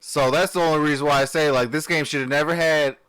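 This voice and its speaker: A man hosting a live stream, reacting to a game and chatting with viewers in a sleepy, just-woken voice, cheering like an excitable fan. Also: on the high side, fast talker, clear, resonant tone, no room sound. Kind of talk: casual live reaction chat.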